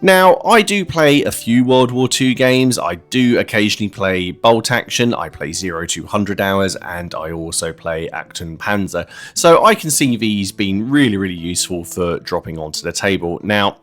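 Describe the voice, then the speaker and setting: A man's voice: fairly low pitch, expressive, moderate pace, hardly any pauses. A man giving a casual, chatty narrated walkthrough as a hobby video host, explaining things informally. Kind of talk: casual narrated kit-building review. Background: music.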